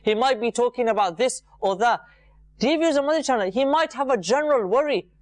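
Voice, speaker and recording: A man's voice: very high voice, expressive, fast, dry recording. A man giving an informal, earnest religious talk to viewers, repeating phrases for emphasis. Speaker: religious programme presenter.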